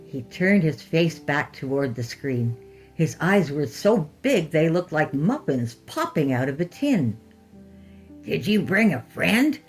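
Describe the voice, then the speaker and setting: Female horror narrator, low register and unhurried, expressive scripted read over a light music bed. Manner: deliberate, expressive storytelling.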